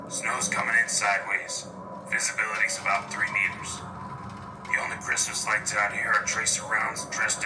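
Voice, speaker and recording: Low, flat, hardened war correspondent played by a man. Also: close to an everyday voice. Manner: terse, deadpan, sardonic. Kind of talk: scripted sci-fi field report. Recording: echoey, distant field transmission, music behind.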